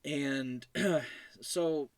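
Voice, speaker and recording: A man's voice: fairly high pitch, very slow, no room sound. A young man talking casually as a solo podcast host, in an informal, rambling way and often correcting himself. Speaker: podcast host and show creator.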